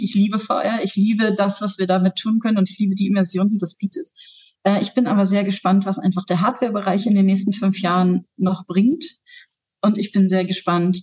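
A woman with a slightly husky voice answers interview questions with bubbling enthusiasm. She talks quickly and drops plenty of fillers as she goes. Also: medium pitch, a little mellow. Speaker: head of a theater's digital department. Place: works in Augsburg.

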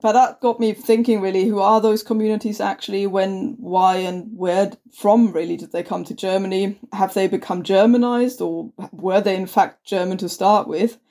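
A woman's voice: mid-range voice, steady tone, normal speed, hardly any pauses, dark tone. A woman co-hosting a conversational history podcast, chatty, with many restarts and fillers. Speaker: podcast co-host.

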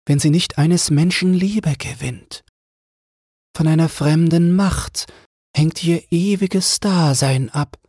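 A man voicing a gentle, solemn female air spirit who speaks slowly and consolingly in a fairy tale. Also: a little high, slightly rough, no echo, studio quality, voice pushed up, exaggerated voice.

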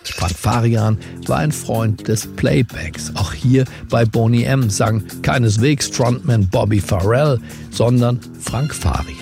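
A man hosting a morning briefing, narrating from a script in a formal, wry, measured way. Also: medium pitch, gravelly, music in the background. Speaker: podcast host.

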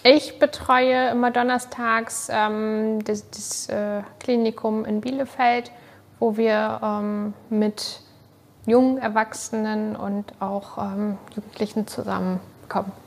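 A woman in her thirties from Hamburg, introducing herself in an interview with halting fillers. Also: mid-range voice, flat delivery, gravelly. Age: 30s. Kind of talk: casual interview answer.

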